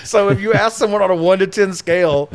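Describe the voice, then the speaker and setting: A man's voice: high voice, fairly even intonation, a little fast, no room sound. A man co-hosting a podcast, recapping a film in casual comedic chat with sarcastic, heavily profane commentary. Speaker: podcast co-host recapping films.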